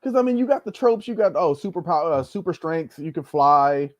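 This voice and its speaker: Male podcast guest, casual and lively in pitch, dry sound. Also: fairly quick, dry recording. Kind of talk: casual chat.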